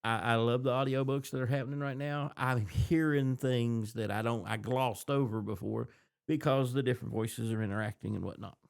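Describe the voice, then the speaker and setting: A man's voice: mid-range voice, steady tone, moderate pace, vocal fry, clear, resonant tone. A man co-hosting a podcast in casual conversation, putting his thoughts together in a hesitant, reflective way. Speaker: podcast co-host. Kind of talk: casual chat.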